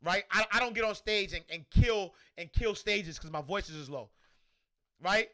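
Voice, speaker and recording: Loud, energetic New York man. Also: sits high, moderate pace. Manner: loud and energetic, reads comments aloud. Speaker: livestream host answering viewer questions. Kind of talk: casual livestream chat. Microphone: desk microphone.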